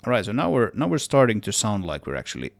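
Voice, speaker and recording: Deep gravelly guy doing a screen tutorial, kinda thinking out loud and correcting himself. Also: wildly swooping pitch, quick pace. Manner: hesitant, self-correcting, trailing off as he works. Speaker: tutorial presenter, game audio developer. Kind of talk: casual screen-recorded software tutorial, thinking aloud.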